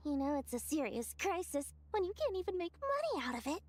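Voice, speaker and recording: A woman's voice: high voice, sing-song, normal speed. A woman voicing a small, theatrical, money-minded female merchant in a video game, gushing and wheedling with exaggerated delivery. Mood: wheedling, lamenting.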